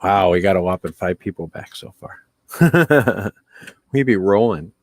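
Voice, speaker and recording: Low-voiced man casually hosting a livestream. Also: expressive, slow, no echo. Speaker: live stream host.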